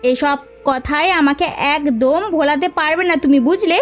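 A woman voicing a scornful, sharp-tongued married sister-in-law in a moral story cartoon, scolding with taunting questions. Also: sits high, normal speed, soft background music, lightly acted. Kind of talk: dramatic cartoon dialogue.

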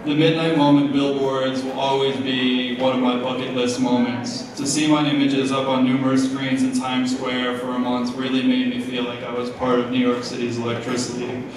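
A man's voice: medium pitch, little pitch movement, moderate pace, few pauses. A young man from New Jersey giving a casual, joking acceptance speech at a gala, full of fillers, heard through the podium mic from the audience. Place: large hall, on stage at a gala. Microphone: podium mic through speakers, recorded from the audience. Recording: echoey hall from far off, noisy crowd. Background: crowd murmur and room noise.

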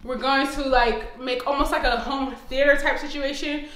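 Echo rings around a young American woman with a fairly high voice, recorded in empty rooms indoors. She talks in a casual, upbeat way, like a vlogger showing friends around her house. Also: fairly even intonation, normal speed, a bit bright. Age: young adult. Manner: upbeat, enthusiastic. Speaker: vlogger giving a home tour. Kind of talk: casual chatty walk-through to camera.

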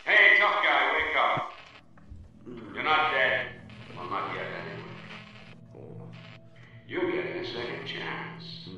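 An actor playing a sadistic snuff-film director in a video game, coaxing and menacing in a theatrical way. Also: male voice, mid-range voice, big pitch swings, slow, pauses often, sharp tone, lo-fi sound, exaggerated voice. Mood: taunting. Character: sadistic male snuff-film director ordering a captive around.

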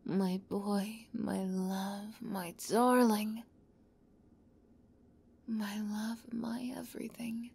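A woman playing an obsessive young yandere captor in an ASMR roleplay, close to the mic, moving between soft whispers and giddy, high outbursts. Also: flat delivery, extremely slow, gravelly, long pauses, husky. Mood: whispered, possessive.

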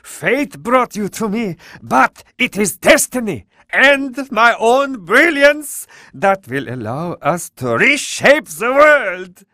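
An actor playing a pompous, diabolical mad scientist with a German accent in a video game, gloating melodramatically. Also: male voice, sits high, big pitch swings, slow pace, pushed and bright, no room sound, studio quality, full character voice. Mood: gloating, reverent. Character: grandiose, diabolical male mad scientist doctor. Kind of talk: theatrical video game villain acting.

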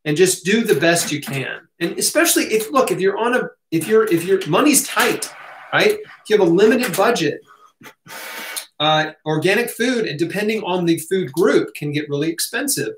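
American guy chatting to camera in an echoey home office, voice a bit high, reassuring, does little check-in questions. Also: animated, moderate pace, fairly bright tone, a little hiss or hum. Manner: conversational, reassuring, punctuates points with "right?" and "okay?". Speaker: health educator, host. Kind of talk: casual Q&A talk to camera. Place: small home office, indoors. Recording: roomy home-office echo.

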